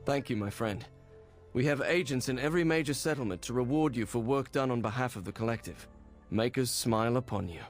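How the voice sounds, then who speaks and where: A male actor plays a discreet agent of a secret mage guild in a fantasy game. His voice sits at a middle pitch, and he is formal, courteous and persuasive. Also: brisk, soft background music, lightly acted. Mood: courteous, assured. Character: smooth, discreet male go-between for secret mages.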